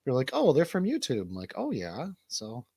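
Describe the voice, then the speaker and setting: American male radio DJ, mid-pitched, rambling comic banter, casual and profane, recorded very dry. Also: huge pitch swings, normal speed, vocal fry, warm tone, breathy edge, no echo. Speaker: DJ co-hosting a comedy radio show.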